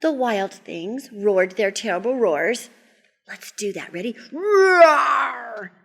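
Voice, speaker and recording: A woman reading a picture book aloud to young children in an expressive, theatrical way, inviting them to join in. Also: medium pitch, unhurried. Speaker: children's storyteller and host.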